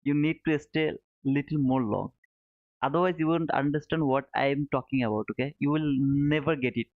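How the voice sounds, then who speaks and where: A man's voice: mid-range voice, normal speed. Phone-like sound, man chatting on stream. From Bangladesh. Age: 30s. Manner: repeats short phrases, informal and chummy address. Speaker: live trading stream host. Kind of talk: casual chat, answering viewer comments. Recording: narrow phone-like sound, roomy echo.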